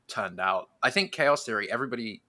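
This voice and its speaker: A man's voice: on the low side, animated, brisk, pushed and bright. A man hosting a podcast, chatting casually and enthusiastically like a keen fan who swears freely. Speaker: podcast host.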